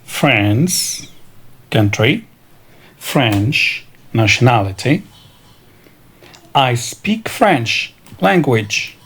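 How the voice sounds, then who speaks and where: A man teaching English vocabulary, reading country and nationality words in a slow, deliberate drill. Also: medium pitch, very animated. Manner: slow, deliberate, spells out each word. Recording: clear background noise.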